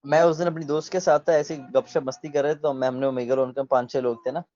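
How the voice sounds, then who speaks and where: Mellow-voiced man in his thirties on a video call. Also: mid-range voice, quick pace. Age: 30s. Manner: relaxed, opinionated, mixes in English phrases. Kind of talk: casual video chat. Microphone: video call mic. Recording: dull lo-fi video call audio.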